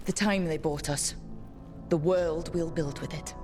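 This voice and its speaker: An actress playing a steady, encouraging adventurer heroine in a video game, with an earnest way of speaking. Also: female voice, low voice, measured pace, music in the background. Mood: solemn. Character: steady, encouraging hero woman, a visiting adventurer. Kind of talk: video game cutscene dialogue.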